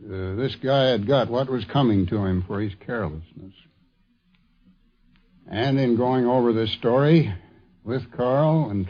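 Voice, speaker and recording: An older man telling an anecdote in a halting, deliberate way, interviewed for a 1950s radio programme. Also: mid-range voice. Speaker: interviewee, former magazine editor. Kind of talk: anecdotal reminiscence. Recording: dull 1950s radio recording.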